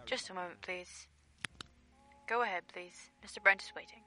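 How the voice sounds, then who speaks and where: An actress playing a formal, impersonal telephone operator in a radio play, brisk and businesslike. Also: female voice, mid-range voice, fairly even intonation, pushed and bright, natural voice.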